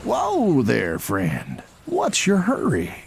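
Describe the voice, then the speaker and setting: A man's voice: medium pitch, wildly swooping pitch, extremely slow, vocal fry, husky, full character voice. A man voicing a sly, folksy smuggler robot in a cartoon game, wheedling like an oily salesman. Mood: ingratiating.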